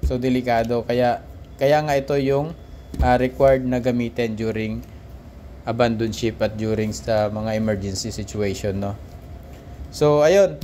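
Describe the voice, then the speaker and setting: A man's voice: on the high side, darkish tone, a little hiss or hum. A man, a ship's officer, casually demonstrating equipment aboard a vessel while filming on a handheld camera, with frequent tag questions and restarts. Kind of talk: casual instructional demo. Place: aboard a ship.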